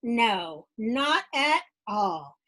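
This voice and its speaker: A woman reads a children's picture book aloud in a high, very lively voice. She takes her time and is expressive and dramatic, like a teacher with young pupils. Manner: expressive, dramatic, pauses to question young listeners. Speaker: teacher reading to students.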